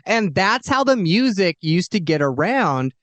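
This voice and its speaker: A man with a fairly high, very animated voice co-hosts a casual chat show. He talks in an effusive, jokey way and leans hard on his points. The sound is dry and close. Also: measured pace, no room sound. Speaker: show co-host. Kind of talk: casual, bantering interview chat.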